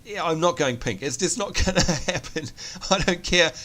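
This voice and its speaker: A man's voice: a little high, animated, moderate pace, sharp tone. A man with a New Zealand accent, sick with a cold, giving chatty, self-mocking commentary on a game he is playing. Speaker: gameplay commentator. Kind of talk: casual let's play commentary.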